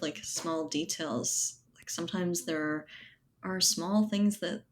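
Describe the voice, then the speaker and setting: A woman's voice: lowish voice, slight background noise. A woman speaking as an interview guest, explaining her thinking in a casual, thoughtful, conversational way. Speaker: guest, writer and editor.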